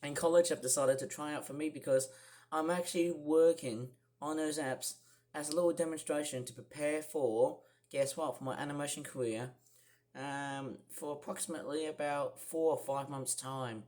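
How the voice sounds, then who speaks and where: Young man, croaky voice, rambling vlogger. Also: on the high side, steady tone, normal speed, a little mellow. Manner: rambling, many fillers and restarts. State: croaky, clearing throat. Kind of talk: casual screen-share vlog.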